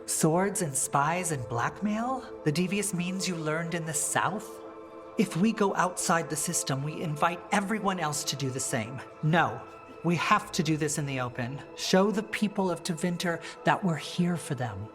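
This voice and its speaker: A woman playing a principled female politician and rebel leader in a fantasy video game, measured, firm and earnest. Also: sits low, lively intonation, over music. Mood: firm, reproachful. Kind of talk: dramatic video game dialogue acting.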